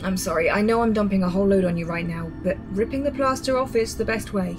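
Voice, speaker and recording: A woman's voice: mid-range voice, quick pace, close to an everyday voice. Woman playing a blunt rebel medic over music. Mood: blunt, sober. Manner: matter-of-fact, blunt, explanatory. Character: blunt, composed female resistance medic. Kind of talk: radio drama acting.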